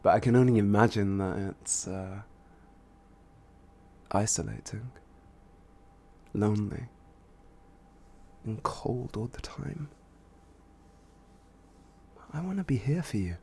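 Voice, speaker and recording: Breathy, slow-talking obsessed stalker played by a man. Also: fairly low pitch, darkish tone, exaggerated voice. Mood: tender awe. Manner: halting, worshipful, pleading. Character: obsessed, adoring young stalker smitten with a killer. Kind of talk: boyfriend ASMR audio roleplay.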